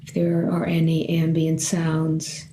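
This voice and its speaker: Very slow, low-pitched woman guiding meditation. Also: completely flat delivery, a little hiss or hum. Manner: gentle, unhurried, with long pauses between short phrases. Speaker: meditation teacher. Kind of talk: guided body scan meditation.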